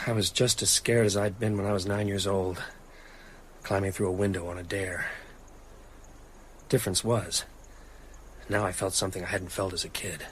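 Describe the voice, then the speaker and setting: A man's voice: on the low side, a bit bright, slight background noise, lightly acted. An actor playing a haunted, determined man out for revenge in a radio drama, grim and intense. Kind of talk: radio drama acting and narration.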